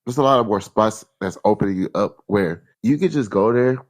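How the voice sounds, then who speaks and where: Laid-back deep-voiced Texan man. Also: moderate pace, dry recording. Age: 30s. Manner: laid-back, rambling, slangy. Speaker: podcast co-host. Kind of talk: casual chat.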